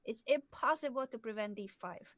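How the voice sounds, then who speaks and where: A woman's voice: fairly high pitch, normal speed, a bit bright, no echo. Dry-sounding woman explaining chess. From southern Hungary. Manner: clear, patient explaining, laughs easily. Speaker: chess coach. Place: home, live stream.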